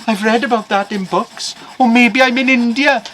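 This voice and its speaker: A man voicing an excitable, boastful, easily frightened Welsh farmer who exclaims and rambles to himself in wide-eyed wonder. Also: mid-range voice, a little slow, a little hiss or hum. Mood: panicked, bewildered.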